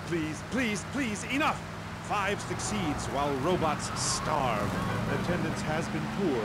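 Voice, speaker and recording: Guy doing a snooty artsy theatre director on radio, highish voice, super dramatic, loud traffic noise. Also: close to an everyday voice. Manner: pompous, theatrical declaiming. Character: pompous, melodramatic male avant-garde theatre director. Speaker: radio talk show guest. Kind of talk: satirical radio talk show acting.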